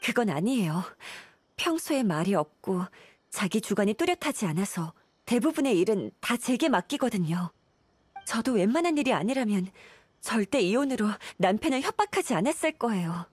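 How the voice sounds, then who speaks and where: A woman's voice: mid-range voice, darkish tone, husky. An actress playing a weary, apologetic wife and mother burdened by debt in a video game, speaking politely and plaintively. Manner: polite, plaintive, earnest storytelling.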